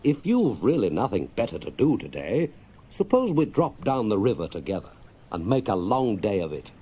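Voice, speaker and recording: A man voicing a brisk, friendly, excitable water rat in a children's storybook, with hearty, chatty gentlemanly talk. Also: lowish voice, husky, highs cut off, heavily acted. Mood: enthusiastic. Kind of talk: children's storybook character reading.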